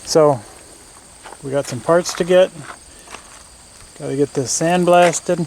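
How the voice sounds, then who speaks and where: A man's voice: fairly high pitch, slow, vocal fry, darkish tone, slight background noise, poor audio. A man giving casual hands-on commentary as host of a workshop build video, plain-spoken and thinking aloud.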